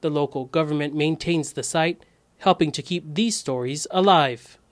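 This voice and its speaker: A young man giving scripted news narration in a measured, clear reporting delivery. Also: a little high. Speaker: news correspondent.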